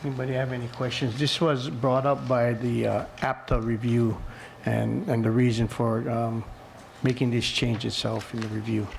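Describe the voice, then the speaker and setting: Male board chair, procedural and businesslike, little pitch movement, gravelly fry, faint noise behind. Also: flat delivery, moderate pace, few pauses. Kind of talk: formal meeting procedure.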